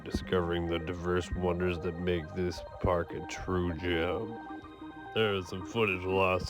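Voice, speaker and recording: A man with a hoarse, raspy voice narrating a scripted park-trail video as a voice-over. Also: low voice. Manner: reads a scripted nature guide in an even tone. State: hoarse from yelling. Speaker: vlogger.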